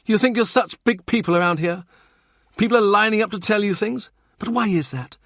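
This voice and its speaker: A man voicing a sharp-tongued young woman who answers with cutting sarcasm. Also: sits high, a little fast, dry recording, clean studio sound, voice pushed up. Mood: tart.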